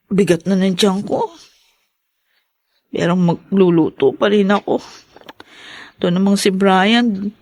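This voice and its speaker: A woman's voice: mid-range voice, darkish tone, no room sound. A woman playing a meek, weary young pregnant wife in a Tagalog radio drama, with a plaintive manner. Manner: apologetic, plaintive.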